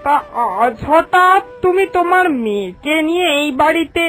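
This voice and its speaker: A woman's voice: extremely high pitch, animated, moderate pace, fairly bright tone, soft background music. A woman playing a proud, bossy mistress of a wealthy village household in a cartoon, haughty and authoritative. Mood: condescending, exacting. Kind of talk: Bengali cartoon voice acting.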